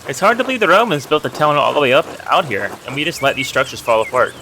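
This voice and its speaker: A friendly, humble miller from a historical video game, acted out by a man. He talks in a folksy, storytelling way with a bright, forward voice. A little noise sits behind him. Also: on the high side, sing-song, fast talker, heavily acted, low-quality recording. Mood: friendly, musing. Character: friendly, humble village miller who loves old legends. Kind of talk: reading video game dialogue aloud.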